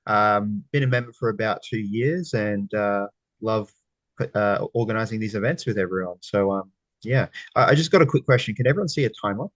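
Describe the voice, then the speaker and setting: Upbeat low-voiced man, dry sound. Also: fairly quick, no echo, rough recording. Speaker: event organiser. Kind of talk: casual self-introduction.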